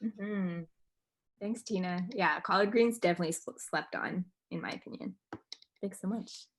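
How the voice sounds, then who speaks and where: A woman's voice: fairly low pitch, normal speed, slight background noise. A woman hosting a casual check-in on a video call. She talks in a friendly, hesitant way, with plenty of ums. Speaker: workshop facilitator. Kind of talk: casual online group check-in.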